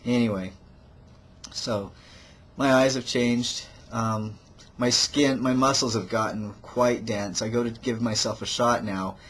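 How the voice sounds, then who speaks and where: A man talking casually to his camera at home in a personal video diary, hesitant, with restarts and soft laughs. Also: medium pitch, steady tone, measured pace. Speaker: vlogger.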